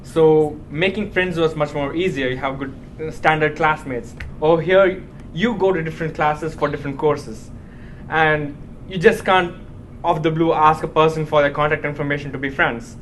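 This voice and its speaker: Man from India, student panelist, fairly high voice, measured delivery, faint rumble underneath. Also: fairly even intonation, a bit bright. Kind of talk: panel discussion answers.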